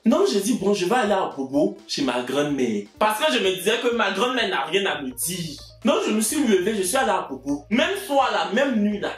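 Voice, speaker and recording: A man with an Ivorian French accent tells a comic personal story to his viewers and acts out each person in it. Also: sits high. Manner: lively, acts out every voice in the story. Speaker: video creator. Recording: roomy echo, faint music.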